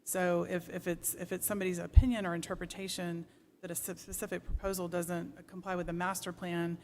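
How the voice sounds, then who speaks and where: City planner explaining stuff at a public meeting, pretty flat tone, careful wording, bit of room noise. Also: medium pitch, fairly quick. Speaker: city planning staff member. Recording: noisy meeting room ambience.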